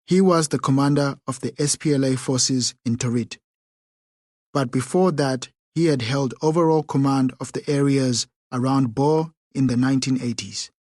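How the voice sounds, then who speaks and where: A man narrates a first-person memoir for an audiobook, reading in a measured, unhurried way. His voice sits at an ordinary middle pitch. The close studio recording is dry, with no room sound. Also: darkish tone, no room sound.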